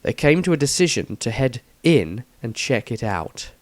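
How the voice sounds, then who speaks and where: A man's voice: mid-range voice, sing-song, normal speed, no echo. A man reading a scripted fantasy story as its narrator. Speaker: author and narrator.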